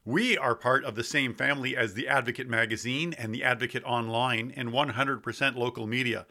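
A man with a bright, forceful voice and a medium pitch works as a podcast host. He moves between conversational questions and presenting to the listener. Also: fairly quick.

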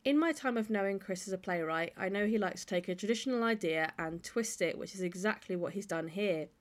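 A woman's voice: medium pitch, fairly quick. Woman host reading a scripted introduction. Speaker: podcast host.

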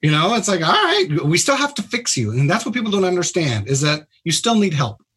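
A man's voice: high-pitched, wildly swooping pitch, fairly quick, fairly bright tone, husky. A man who is a hospital doctor, explaining things in a plain-spoken, informal way as a guest on a radio talk show. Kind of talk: casual explanatory radio interview.

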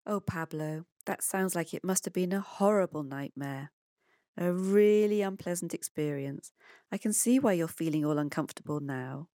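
Calm, reassuring storybook dad, voiced by a woman. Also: lowish voice, normal speed, dry recording, studio quality, natural voice. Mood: calm, sympathetic. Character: calm, understanding father of a schoolboy. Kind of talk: children's storybook dialogue.

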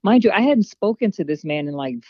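A woman guest in a casual podcast interview, chatting warmly and laughing easily. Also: medium pitch, animated, fairly quick, breathy edge, dry recording, dull audio. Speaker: guest actress.

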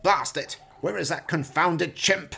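A man's voice: on the high side, a little slow, vocal fry, pushed and bright, breathy edge, voice pushed up, heavily acted. A man voicing an evil, impatient mad scientist with pompous theatrical delivery in a horror-comedy radio play. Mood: exasperated.

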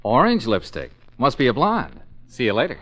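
An actor playing a breezy, wily private detective in a casual, hemming 1940s radio comedy-mystery style. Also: male voice, mid-range voice, huge pitch swings, normal speed, fairly bright tone. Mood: startled, intrigued. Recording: muffled old radio broadcast.

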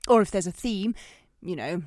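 Woman acting a cool, lively-pitched interrogating date. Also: normal speed, dry recording, studio quality, close to an everyday voice. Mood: probing. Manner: composed, pointed, interrogating. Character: cool, probing woman quizzing and judging her date. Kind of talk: naturalistic audio drama acting.

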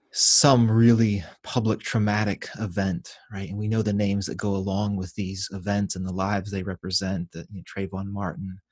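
Earnest man, low and dry. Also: a little mellow, no room sound. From grew up in Venezuela and Ecuador. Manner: earnest, measured and reflective. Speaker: pastor and author, guest. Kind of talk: podcast interview conversation.

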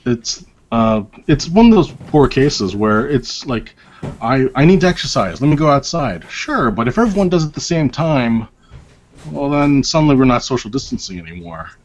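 A man's voice: medium pitch, sing-song, normal speed. A man as an interview guest, chatting casually with lots of fillers and restarts and a wry, self-deprecating manner. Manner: rambling, many fillers and restarts. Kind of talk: casual conversation. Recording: dull lo-fi audio.

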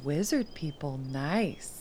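A woman playing a relaxed, no-nonsense army lieutenant in a radio drama, casual and wry. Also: deep voice, slow, a little hiss or hum. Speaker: actor in a radio play.

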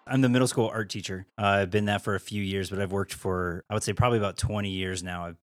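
A man in his forties from the Midwest, an artist and teacher talking through an interview in a relaxed, rambling, friendly way. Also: fairly low pitch, fast talker, slight background noise. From Midwestern United States. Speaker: guest, artist and art teacher. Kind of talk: casual interview chat. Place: small caboose art studio.

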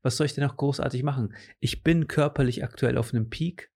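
A man's voice: mid-range voice, brisk, creaky, darkish tone. A man in his late twenties chatting casually about his training goals, rambling with lots of fillers and restarts. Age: late 20s. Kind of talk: casual chat.

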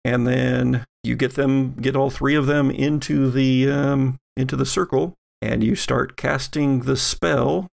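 A man's voice: medium pitch, fairly even intonation, a little slow, vocal fry, few pauses. A man narrating an improvised tabletop game as game master, explaining things conversationally.

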